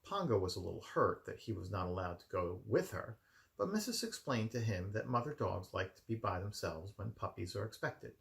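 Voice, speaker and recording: Male storybook reader, home setting, deep-leaning register with heavy vocal fry, expressive narration. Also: moderate pace. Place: his room at home.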